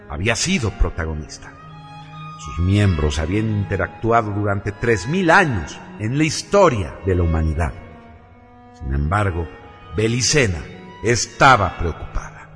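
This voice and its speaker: A man narrating a radio drama from a script in a solemn, deliberate, theatrical way. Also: on the low side, fairly bright tone.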